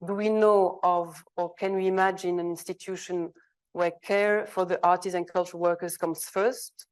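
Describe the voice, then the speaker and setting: A woman with a fairly low voice speaks at a conference, reading from a prepared text. Dutch is her first language. Her delivery is measured and formal, with the odd small stumble over a word. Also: fairly even intonation, clean tone, low-quality recording.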